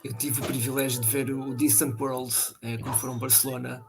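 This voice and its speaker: A man with a European Portuguese accent chatting about video games, enthusiastic and joking, speaking in quick bursts. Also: medium pitch, little pitch movement, vocal fry, music in the background. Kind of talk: casual gaming chat.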